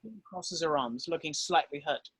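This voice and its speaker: A man's voice: on the high side, normal speed, a bit bright, slight background noise. A man narrating stage directions in an amateur screenplay table read.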